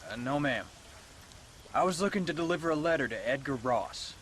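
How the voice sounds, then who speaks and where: Young western american cowboy, courteous but out for revenge, game scene, outdoor noise. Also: male voice, fairly high pitch, lively intonation, brisk, fairly bright tone. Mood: polite, earnest. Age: young adult. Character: young polite cowboy son bent on avenging his father. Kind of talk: video game cutscene acting. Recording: clear outdoor ambience noise behind.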